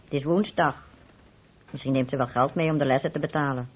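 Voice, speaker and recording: An actress playing a brisk, fondly scolding middle-aged wife with a husky voice in an old radio play. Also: female voice, a bass voice, fairly even intonation, phone-quality sound. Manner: bustling, mock-scolding. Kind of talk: old radio drama acting.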